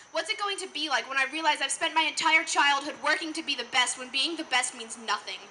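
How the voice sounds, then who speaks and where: A woman's voice: high voice, bright, forceful tone. A teenage young woman performing slam poetry live with an emphatic, earnest, rhetorical delivery. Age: teens. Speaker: slam poet. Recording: noticeable background noise, live event.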